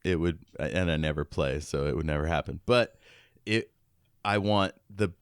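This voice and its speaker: Deep, smooth-voiced man chatting casually. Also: normal speed, no echo, clean studio sound. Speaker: podcast co-host. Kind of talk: casual sci-fi fan chat.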